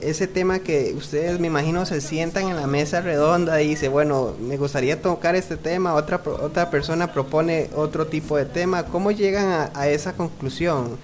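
A woman hosts an interview in a casual, conversational style. Her voice is notably low for a woman. Soft music plays faintly behind her. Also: moderate pace. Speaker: interviewer, host.

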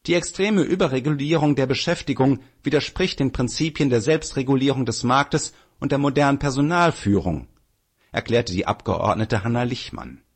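Husky man reading a political article. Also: medium pitch, normal speed. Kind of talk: scripted narration, read-aloud journalism.

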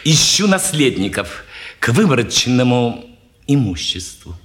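An actor playing an odd, unsettling man of forty with halting, cryptic, deliberate speech, in a 1950s Russian stage drama. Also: medium pitch, sing-song, fairly bright tone. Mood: cryptic, wary. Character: odd, unsettling stranger of forty, humbly dressed.

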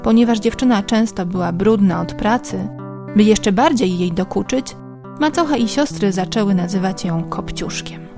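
A woman's voice: medium pitch, expressive, normal speed. A woman storyteller reading a scripted fairy-tale narration. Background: plucked guitar music.

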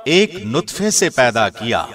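A man reading a scripture translation as a scripted narration, in a measured, formal way. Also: mid-range voice, sing-song, a bit bright. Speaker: translation narrator, radio host.

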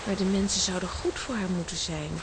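Woman as a gentle, solemn young mermaid. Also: lowish voice, fairly quick, noisy background, lightly acted. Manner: earnest, storytelling. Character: gentle, solemn young female mermaid, last of her kind. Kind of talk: children's audio drama acting.

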